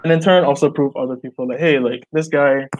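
A young man from Barbados speaks in a mid-pitched voice, earnest and thoughtful, circling back and restarting his phrases as he works out his thoughts. He is on a video call through earbuds from a small room at home. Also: fairly even intonation, fairly quick, muffled recording. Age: young adult. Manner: earnest, reflective, many likes and restarts. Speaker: artist guest. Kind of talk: casual video call interview.

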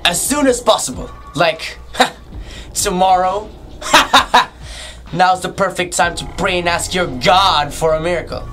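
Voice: medium pitch, slow pace, bright, forceful tone. A young performer playing a menacing, mocking prison captain in an amateur radio drama, gloating and taunting. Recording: music under the voice.

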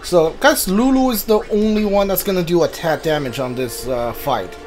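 A man's voice: sits high, moderate pace, faint music behind. A man doing live gameplay commentary as a streamer, thinking aloud as he plays. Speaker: game streamer.

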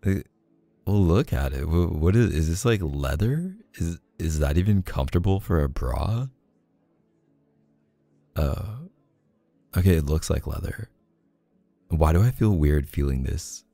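A man with a deep, mellow voice plays an affectionate boyfriend in an intimate roleplay. He talks casually and unhurriedly, hesitating in a bashful, playful way. The recording is close and dry. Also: lively intonation, unhurried, vocal fry, long pauses, no room sound. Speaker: ASMR boyfriend roleplay performer. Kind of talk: intimate casual one-sided roleplay acting.

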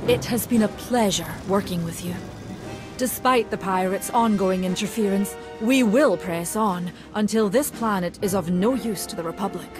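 A woman's voice: mid-range voice, moderate pace, natural voice. Courteous, dutiful female military colonel played by an actress for a game, clipped formality over prominent music. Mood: gracious. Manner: crisp, formal, dryly polite military diction. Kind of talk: video game voice acting.

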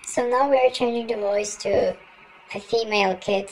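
A man's voice: fairly even intonation, normal speed, a little hiss or hum, poor audio. A young man voicing a little girl through a real-time voice changer, giving casual game commentary. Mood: chirpy, curious. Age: young adult. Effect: real-time pitch-raising voice changer.

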